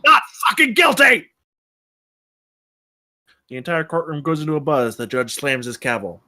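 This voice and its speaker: A man's voice: medium pitch, fast, a bit bright, dry recording, clean studio sound. A man reading out stage directions as narrator at a screenplay table read.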